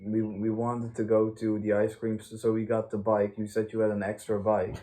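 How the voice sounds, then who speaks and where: A man chatting casually as a vlog host, telling stories in a halting, stammering, joking way. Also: lowish voice, completely flat delivery, mellow. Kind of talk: casual rambling storytime chat. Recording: noisy amateur vlog recording.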